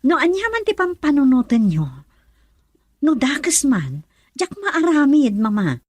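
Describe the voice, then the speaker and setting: A woman's voice: high voice, sing-song, unhurried, a little mellow, no echo. A young woman playing a stubborn, lovestruck daughter in a radio drama. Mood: defensive.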